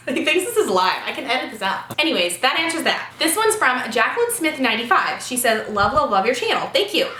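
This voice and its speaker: A woman in her twenties from California, hosting a casual Q&A chat, rambling and quick to laugh. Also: sits high, very animated, bright, forceful tone. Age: 20s. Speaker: vlogger host. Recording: roomy echo, faint noise.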